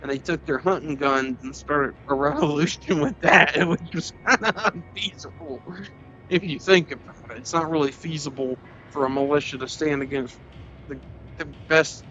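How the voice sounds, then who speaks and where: A man's voice: fairly high pitch, fairly even intonation, moderate pace, pushed and bright. A man vlogging in a rambling, joking way, cursing freely and stumbling over his words. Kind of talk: rambling comedic monologue. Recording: slightly dull sound with faint music.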